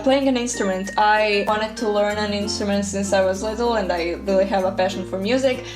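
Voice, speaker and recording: A woman's voice: medium pitch, a little fast. A teenage girl, talking through a list of hobbies in a friendly, casual way with frequent "like" and "um". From Croatia. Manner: friendly, casual, scattered filler words. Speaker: vlogger. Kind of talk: chatty list-style video voice-over. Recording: voice-over with clear background music. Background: background music.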